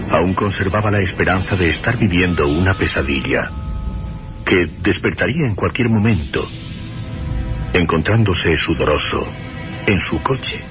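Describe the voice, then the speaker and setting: An actor as the grave storyteller of a radio horror drama, narrating with deliberate, suspenseful phrasing and dramatic pauses. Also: male voice, deep voice, lively intonation. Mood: solemn. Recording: radio drama with loud music behind.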